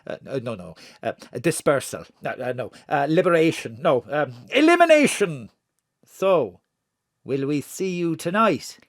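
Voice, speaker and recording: A woman's voice: sits low, sing-song, measured pace, no room sound, studio quality, exaggerated voice. A woman playing a secretive, conspiratorial member of a secret society in an audio drama, speaking cryptically.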